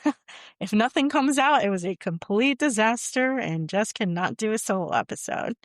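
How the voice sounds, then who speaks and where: A woman's voice: fairly high pitch, lively intonation, moderate pace, no echo, clean studio sound. A woman co-hosting a podcast, chatting casually with a rambling, hesitant way of talking and frequent laughs. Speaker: podcast co-host. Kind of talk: casual chat.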